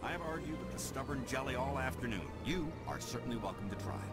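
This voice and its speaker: An actor playing a stern, officious security officer in a video game, with a clipped, formal manner. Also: male voice, mid-range voice, expressive, fast, lightly acted. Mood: exasperated. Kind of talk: video game character acting. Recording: game music behind the voice.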